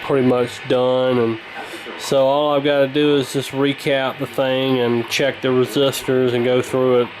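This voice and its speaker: A man casually narrating his repair of an old radio, relaxed and rambling, with plenty of ums and uhs. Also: medium pitch, measured pace, noisy background. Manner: relaxed, rambling, many fillers.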